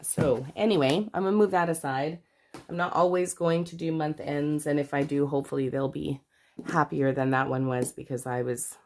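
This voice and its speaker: A woman's voice: sits low, gravelly, a little mellow, slight background noise. A middle-aged woman from Canada, congested from a cold, chatting casually to camera about her budget with rambling, self-correcting asides. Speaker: budgeting vlogger.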